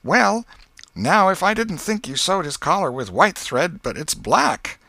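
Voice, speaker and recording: A man's voice: high-pitched, big pitch swings, measured pace, vocal fry, pushed and bright, no echo, pitched above the performer's own voice, heavily acted. A man voicing a prim younger boy who tattles with sly, pointed remarks in an audiobook. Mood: slyly tattling.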